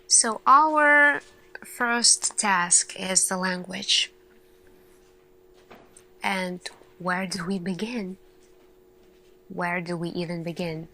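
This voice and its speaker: A woman playing an earnest lead scientist in an ASMR roleplay, speaking deliberately in short phrases with dramatic pauses. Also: lowish voice, sharp tone, poor audio. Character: earnest female lead scientist addressing her team. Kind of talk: slow ASMR roleplay monologue.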